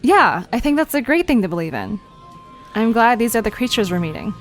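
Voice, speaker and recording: A woman's voice: a little high, sing-song, brisk. An actress playing a curious, playful young woman in an audio drama, inquisitive, teasing and a little skeptical. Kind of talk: conversational audio drama acting. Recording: music and crickets behind voice.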